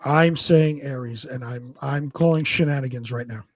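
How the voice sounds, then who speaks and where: A man's voice: medium pitch, normal speed, no room sound, studio quality. A man bantering casually as a quiz contestant, disputing rulings in a matter-of-fact way.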